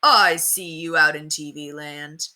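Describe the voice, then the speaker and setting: A woman voicing a folksy old male TV sheriff who hosts for children in a storybook, with a drawn-out showman's delivery. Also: deep voice, fairly even intonation, bright, forceful tone, full character voice. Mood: showy, coaxing. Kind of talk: children's storybook read-aloud.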